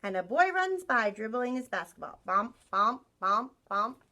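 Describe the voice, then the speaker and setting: A woman teacher reading a picture book aloud to young children in an expressive storytelling style, acting out sound effects. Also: a little high, measured pace. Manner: animated, acts out sound effects, asks listeners questions.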